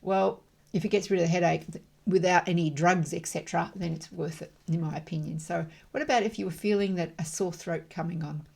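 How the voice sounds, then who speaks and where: A middle-aged woman facilitating emotional freedom tapping, repeating affirmation phrases slowly and rhythmically between calm, chatty explanations. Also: fairly low pitch, gravelly, husky. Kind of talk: guided tapping demonstration.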